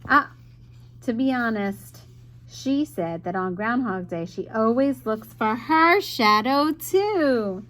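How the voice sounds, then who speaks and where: Woman acting sleepy male groundhog, high-pitched, flustered, groaning, honest, children's storybook. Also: animated, slow pace, a bit bright. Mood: sheepish. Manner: groaning, sighing, hesitant. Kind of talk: children's picture book read-aloud.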